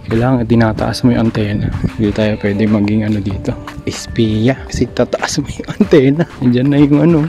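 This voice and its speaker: A Filipino man with a middling pitch talks casually as he records a walking vlog. He breaks off for short radio-style check-ins. Loud music plays along with his voice. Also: steady tone, a little fast. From Philippines. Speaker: vlogger testing walkie-talkies.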